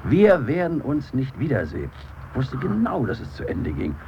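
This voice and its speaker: Impatient, emphatic funeral mourner, very lively delivery, radio play, dull sound with clear noise. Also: male voice, medium pitch, moderate pace. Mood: excited, insistent. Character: impatient, excitable male mourner waiting at a funeral. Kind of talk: naturalistic radio play dialogue. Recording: muffled, clearly noisy 1970s radio recording.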